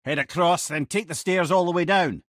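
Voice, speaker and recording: A man's voice: a little high, normal speed, fairly bright tone, dry recording, clean studio sound. A male actor voicing a witty, wise, talkative older Scottish companion in a video game, with a wry, eloquent storytelling manner. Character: witty, garrulous, wise severed-head companion, older man.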